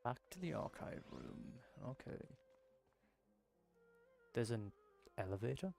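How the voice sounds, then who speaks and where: A man's voice: mid-range voice, sing-song, slow, gravelly, darkish tone, faint music behind. A man giving casual gameplay commentary, muttering at himself in exasperation. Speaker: gameplay streamer.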